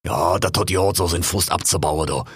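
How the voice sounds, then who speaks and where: A man plays a blustery old monkey king with a thick lilt, a very deep, husky yet bright voice that rambles on. His talk is dotted with "hör mal", recorded dry and close. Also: a little fast, no echo, exaggerated voice. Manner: dialect-heavy rambling, says "hör mal" often.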